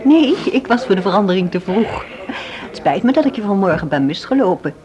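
A woman's voice: medium pitch, sing-song, a little fast, close to an everyday voice. An actress playing a concerned woman in a Dutch radio drama. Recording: muffled radio play with crowd chatter.

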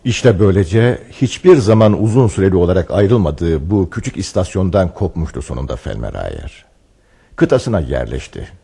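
A man's voice: sits low, sing-song, normal speed, creaky, warm tone, slightly rough, full character voice. An actor playing a wry, knowing male storyteller in a Turkish radio play, with a theatrical, teasing delivery. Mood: storytelling. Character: wry male storyteller who chats knowingly with his hero. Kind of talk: Turkish radio theatre acting, narration and dialogue.

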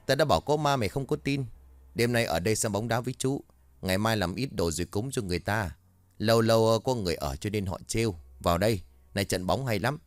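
A man's voice: fairly low pitch, animated, fast talker, dry recording, close to an everyday voice. A man voicing an impatient, swearing older night guard. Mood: calm. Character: gruff cursing older male night guard.

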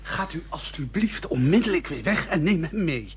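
An actor playing an urgent, disapproving villager with a husky, breathy voice in a radio play. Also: male voice, a little high, big pitch swings, normal speed, background noise. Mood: urgent, hushed.

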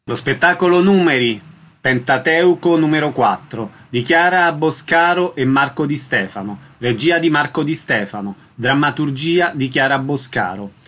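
A male announcer with a slightly high voice reads scripted credits. The delivery is that of a prepared announcement, item after item. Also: animated, moderate pace, a bit bright.

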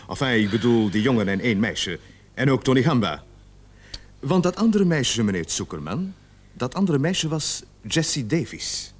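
A man acting in a radio drama as a stern, methodical police interrogator who speaks in a measured, pressing way. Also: medium pitch.

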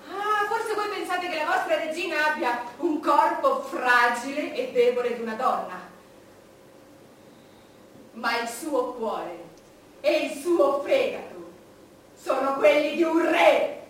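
A woman's voice: sits high, lively intonation, takes it slowly, full character voice. An actress playing an imperious, scornful, vulgar-tongued Queen of England in a theatrical stage monologue, with a commanding delivery. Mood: imperious fury. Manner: theatrical, commanding, swinging from hushed menace to loud outbursts. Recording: echoey, distant, noisy stage recording.

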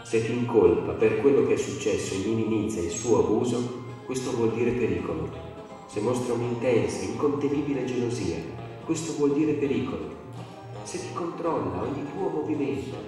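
An actor playing a grave narrator who recites warnings in an emphatic, measured theatrical style. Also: male voice, medium pitch, expressive, far from the mic, lightly acted. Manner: emphatic, measured, repeated refrain. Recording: echoey hall from afar, music behind.

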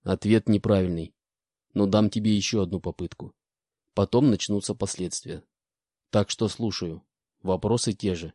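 A man voicing a cold, ruthless male commander who speaks in flat, clipped phrases. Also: lowish voice, normal speed, pauses often, no echo, clean studio sound, lightly acted. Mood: indifferent.

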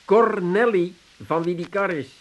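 A male actor playing an eager, hard-up son in a comic radio drama, excitable and plaintive. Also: high-pitched, a little slow. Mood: cheerfully stubborn. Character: eager, hard-up working-class Amsterdam son turned ice cream seller. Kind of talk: 1980s comedy radio drama acting.

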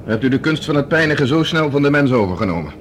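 An actor a radio play playing a resolute, practical professor on the run in space. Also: male voice, mid-range voice, lively intonation, fast talker, lightly acted. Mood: dry defiance. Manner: urgent, practical, drily defiant. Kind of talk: Dutch radio drama acting. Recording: noisy old radio drama recording.

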